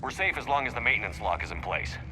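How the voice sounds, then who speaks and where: A man's voice: mid-range voice, very animated, fast talker, over music, natural voice. A man playing a resolute human military commander in a science fiction video game. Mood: commanding.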